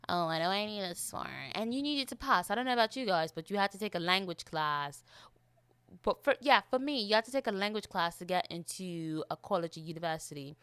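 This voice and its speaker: A young woman from Florida chats in an affected British accent laid over her American one. Her voice is bright and swings widely in pitch as she rambles expressively to listeners. The sound is dry and close, like a casual solo podcast. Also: brisk, clean tone, no room sound. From Florida, United States. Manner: expressive, rambling, exasperated emphasis with repeated phrases. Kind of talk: casual, chatty monologue.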